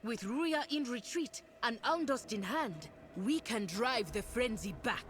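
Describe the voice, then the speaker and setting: A resolute, higher-voiced fantasy ally. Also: female voice, lively intonation, measured pace, noisy background. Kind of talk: video game voice acting.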